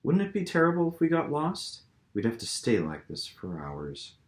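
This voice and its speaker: A man voicing an expressive, lilting woman in love, a playful and uneasy heroine of a novel. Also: a little high, normal speed, voice pushed up. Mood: playful, cozy. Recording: roomy, echoing room sound.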